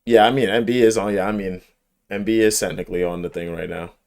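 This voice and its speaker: A man with a fairly low voice chats casually as he streams a game. His talk is informal and full of slang and swearing. He gets enthusiastic and emphatic, often repeating a phrase to drive it home. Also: quick pace, creaky, darkish tone. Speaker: livestreamer. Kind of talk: casual chat while gaming.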